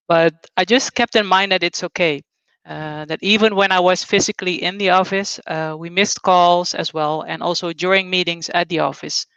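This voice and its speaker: Woman in her forties, smooth dull sound. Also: on the low side, a bit bright, dry recording. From Suriname. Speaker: conference speaker. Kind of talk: webinar talk.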